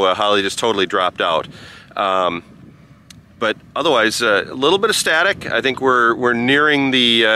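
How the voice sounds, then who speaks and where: A man giving a casual vlog-style review outdoors in a halting, rambling way. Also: fairly low pitch, sharp tone, a little hiss or hum. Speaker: product reviewer and amateur radio operator. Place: outdoors by a rural road.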